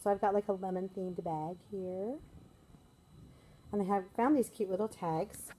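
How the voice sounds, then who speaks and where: A woman teacher vlogging in a casual, upbeat, chatty way, her voice slightly hoarse. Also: medium pitch, measured pace, mellow, a little hiss or hum. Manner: chatty, upbeat, rambling with fillers. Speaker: first grade teacher, vlogger. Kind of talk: casual vlog chat to camera.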